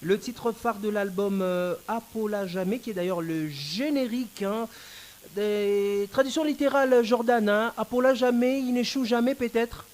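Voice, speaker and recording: High-voiced male radio host. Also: darkish tone. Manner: addresses listeners, leans on drawn-out fillers. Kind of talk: radio interview.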